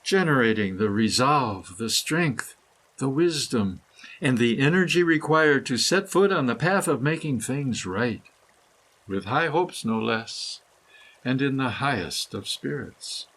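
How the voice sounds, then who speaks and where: A man reading a scripted, poetic guided meditation in measured, deliberate phrases with pauses. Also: mid-range voice, lively intonation, fairly bright tone, rough recording. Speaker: guided meditation reader, author.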